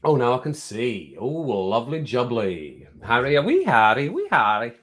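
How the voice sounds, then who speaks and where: A man hosting a casual livestream, his voice a bit croaky from singing, chatting to viewers at home and laughing often. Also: medium pitch, huge pitch swings, moderate pace, fairly bright tone. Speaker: livestream host reading chat. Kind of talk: casual chat to a live audience. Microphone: home streaming mic with compressor.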